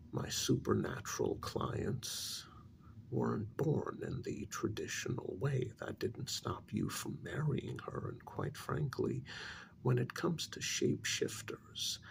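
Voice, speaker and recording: A man playing a patient middle-aged couples therapist in a soft ASMR role-play, speaking low, often near a whisper, in a measured, halting, placating way. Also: gravelly, slight background noise, heavily acted. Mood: placating, firm.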